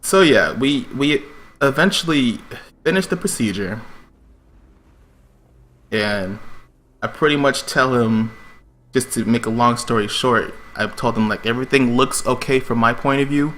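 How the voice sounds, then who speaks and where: A man's voice: medium pitch, measured pace, long pauses, fairly bright tone, a little hiss or hum. A man telling a personal story on a stream in a casual, slangy, profane way, acting out the quotes.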